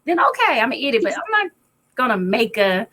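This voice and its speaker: Raspy woman chatting on a live stream, a bit high, easygoing. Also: fairly quick. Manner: relaxed, chatty, often laughing. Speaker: co-host of a live spiritual chat. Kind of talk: casual live-stream chat.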